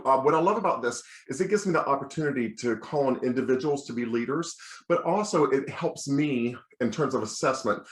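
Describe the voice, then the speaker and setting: American man lecturing in an echoey room. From United States. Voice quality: bass singing voice. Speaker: children's choir director giving a lecture. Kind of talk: instructional lecture with demonstrations. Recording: roomy, echoing sound.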